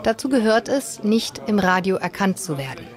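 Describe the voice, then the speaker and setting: A woman's voice: medium pitch, very animated, normal speed, crowd noise. A woman working as a radio reporter, giving scripted reportage and asking interview questions.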